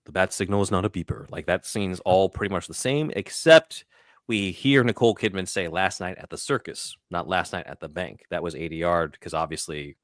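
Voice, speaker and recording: A man's voice: low voice, fairly quick, dry recording, clean studio sound. A man hosting a podcast, talking casually through a film scene by scene. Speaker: podcast host.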